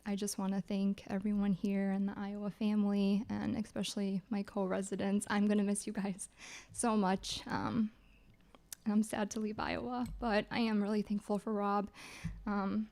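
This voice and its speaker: A soft-spoken woman, a graduating resident, giving a short, heartfelt thank-you speech. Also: mid-range voice, little pitch movement, moderate pace, gravelly. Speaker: graduating internal medicine resident.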